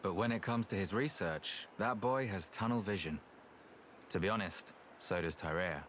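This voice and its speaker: An actor playing a calm, reasonable and slightly weary researcher in a video game, speaking in an unhurried, thoughtful way. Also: male voice, low voice, slight background noise. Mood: relaxed. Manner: unhurried, thoughtful, plain-spoken. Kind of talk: video game cutscene acting. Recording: game music behind the voice.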